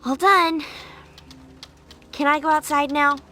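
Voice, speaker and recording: A restless young girl, speaks in a high, bright voice. She is sulky, curious and impatient, sliding into whines like a video game heroine, with soft music behind her. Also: fairly even intonation, takes it slowly, exaggerated voice. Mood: flat compliance. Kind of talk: video game cutscene voice acting.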